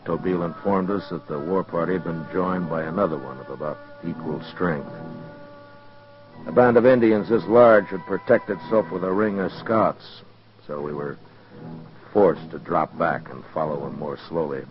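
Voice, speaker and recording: A muffled old radio western carries a man acting as a frontier marshal. His voice is very deep, steady and commanding, with sober, deliberate delivery. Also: music in the background, lightly acted. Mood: sober, weary. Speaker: lead actor and first-person narrator.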